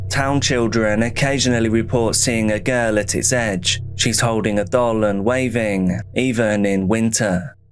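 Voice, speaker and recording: A man narrates a scripted horror mystery as if reading out an official file. His voice sits on the low side and he moves very slowly through short, clipped phrases. The delivery is measured and report-like.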